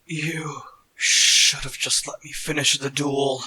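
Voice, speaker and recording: A man's voice: medium pitch, flat delivery, slow, rough recording. A man voicing a stubborn, idealistic wounded knight leader in a dramatic roleplay, earnest and solemn. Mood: weary, frustrated. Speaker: voice actor. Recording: loud background music.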